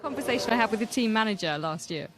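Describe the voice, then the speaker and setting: A woman's voice: on the high side, lively intonation, fast, heavy background noise. A woman reporting live on motorsport and bantering casually with colleagues.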